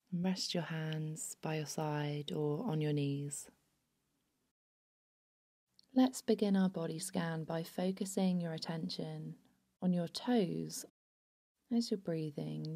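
A woman narrating a guided body scan meditation in a soothing, unhurried, hushed manner. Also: deep voice.

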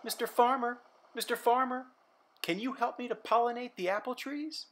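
A woman's voice: mid-range voice, very animated, unhurried, slight background noise, voice pushed up. A woman voicing an eager young boy in a children's story. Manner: eager and bright.

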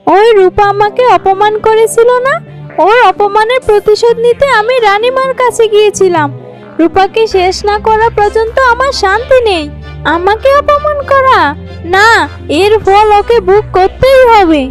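A woman's voice: very high voice, moderate pace, soft background music. A woman voicing a proud, impatient, vengeful young village girl in a cartoon fairy tale.

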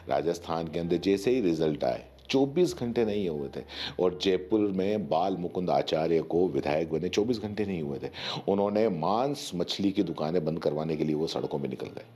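A man's voice: deep voice, warm tone. A man in his forties from India giving opinionated news commentary in an emphatic manner, often posing rhetorical questions. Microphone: lapel mic.

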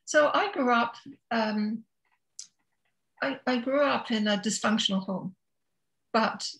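A woman's voice: mid-range voice, fairly bright tone, poor audio. Older Scottish-born woman, reflective interview guest. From Scotland. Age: 70s. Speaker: interview guest, author and therapist. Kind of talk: reflective conversational storytelling.

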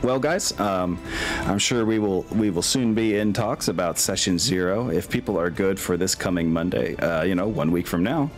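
A man's voice: deep voice, moderate pace, over music. A man, middle-aged, chatting casually and a bit ramblingly as he wraps up an online tabletop game as game master.

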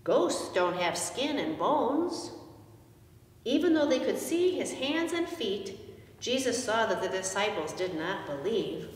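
A middle-aged woman pastor telling a story to children in simple, animated language, with questions to her listeners. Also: medium pitch, takes it slowly. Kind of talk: children's message, storytelling from a picture book. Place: indoors in a church, seated before the altar. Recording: echoey church, distant microphone, noisy background.

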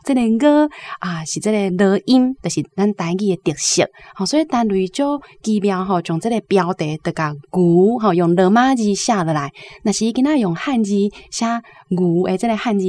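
A woman's voice: medium pitch, dark tone. A middle-aged woman with a Taiwanese accent explaining with examples in a teacherly way. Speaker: university teacher. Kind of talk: explanatory commentary.

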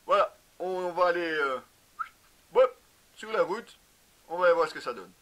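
A man's voice: high-pitched, expressive, normal speed, bright, forceful tone. A man in his thirties giving a casual product review to camera in a garage, explaining with frequent hesitations. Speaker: motorcycle gear reviewer. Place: indoor garage with shelves.

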